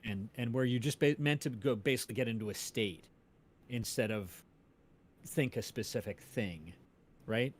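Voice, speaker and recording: A man's voice: medium pitch, normal speed, a little hiss or hum. A male co-host in a casual music discussion, explaining ideas tentatively and checking that he is on track.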